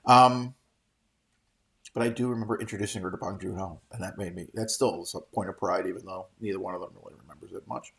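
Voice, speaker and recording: A man's voice: deep voice, steady tone, fast talker, creaky, fairly bright tone. A middle-aged man hosting a show, giving off-the-cuff solo commentary in a rambling, opinionated, casually profane way. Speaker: host of a daily show commenting on entertainment trade news.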